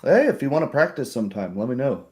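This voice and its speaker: A man's voice: mid-range voice, very animated, fast, darkish tone, pitched above the performer's own voice, natural voice. A man voicing a friendly, practical farmer with hesitant, folksy speech in a tabletop roleplay. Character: friendly, rustic male farmer, eager and practical.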